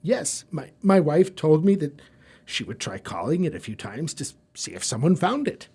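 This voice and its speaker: An actor playing a flustered, indignant male college professor in an audio drama, husky and stammering. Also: a little high, big pitch swings, normal speed, darkish tone. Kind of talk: scripted audio drama acting.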